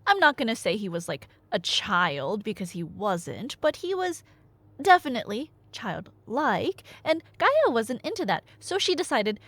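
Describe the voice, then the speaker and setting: A woman's voice: a little high, sing-song, a little slow, fairly bright tone, no echo, exaggerated voice. A woman playing a nerdy girlfriend who tells myths in a casual, rambling ASMR roleplay style, with wry, hesitant asides. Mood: wry, musing. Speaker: ASMR audio roleplay performer.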